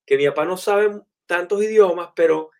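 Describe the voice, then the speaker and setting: A man telling animated, anecdotal stories in a relaxed conversation. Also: sits high, moderate pace. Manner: animated, anecdotal, many fillers. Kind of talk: casual storytelling in conversation.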